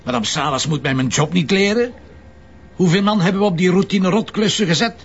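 Stubborn police commissioner: Flemish, slightly high-pitched for a man, impatient and grumbling, in a crime radio play. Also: fairly quick, vocal fry, slightly rough, full character voice. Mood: irritated. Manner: blunt, impatient, argumentative. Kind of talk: Flemish audio crime drama acting. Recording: dull lo-fi sound with background noise.

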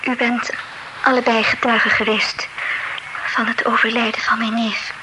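A woman playing a soft-spoken dignified young heiress. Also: a little high, flat delivery, natural voice. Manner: soft, often near whisper, measured. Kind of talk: old radio drama acting. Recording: noisy old radio play recording.

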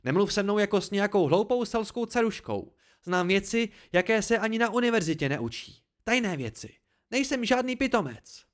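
A man voicing a proud, angry, sharp-tongued young woman in an audiobook story, with biting, indignant outbursts. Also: mid-range voice, expressive, few pauses, natural voice. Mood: scornful, indignant.

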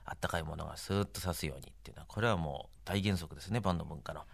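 Very low, gravelly man, fast-talking. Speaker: interview guest, anime production staff. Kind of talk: conversational promotional interview.

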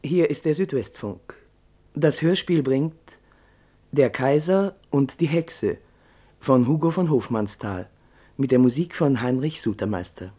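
A man's voice: mid-range voice, animated, measured pace, warm tone, slightly rough. A man working as a radio announcer, formally reading a scripted introduction to a radio play.

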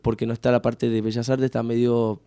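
A man's voice: mid-range voice, flat delivery, brisk, dark tone, clear, resonant tone, dry recording, clean studio sound. A man from Tucumán with an Argentine accent, a musician in his thirties, talking casually in an interview and rambling with many fillers and restarts. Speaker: musician guest.

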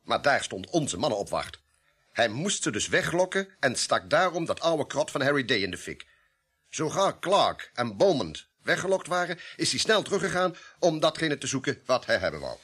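An actor in a radio play voicing an imperious, impatient police inspector, curt and insistent, with a husky, sharp voice. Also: male voice, medium pitch, very animated, moderate pace. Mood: stubborn, certain.

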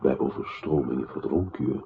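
Grave deep narrator in an old radio play, declaiming slowly, thin hissy sound. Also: male voice, gravelly. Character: male narrator in a poetic choral radio play, solemn and grave. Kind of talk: literary radio drama narration. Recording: tinny, band-limited old radio-play recording with noise.

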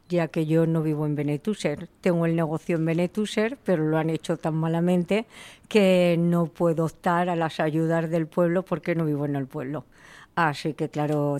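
A woman's voice: deep voice, dark tone, clear, resonant tone. A woman in her fifties, a shop owner answering interview questions in a plain, matter-of-fact and resigned way. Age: 50s.

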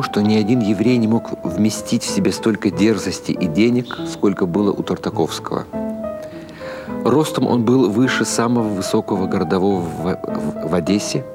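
Low-voiced man narrating a story. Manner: expressive, measured storytelling. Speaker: audiobook narrator. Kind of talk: scripted literary narration. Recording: music behind the voice.

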